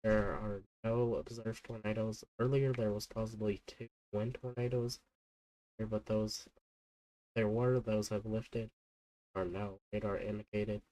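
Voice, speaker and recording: A man hosting an unscripted live weather stream, talking haltingly with many fillers, his voice stuffy from a cold. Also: on the low side, flat delivery, warm tone, low-quality recording. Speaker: livestream weather host.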